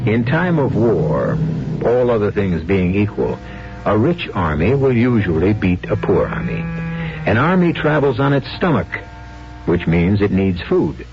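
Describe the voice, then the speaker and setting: A low-voiced man narrates a radio drama as its host. His phrasing is deliberate and theatrical, with lively swings in pitch, and loud music plays behind him. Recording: muffled vintage radio broadcast.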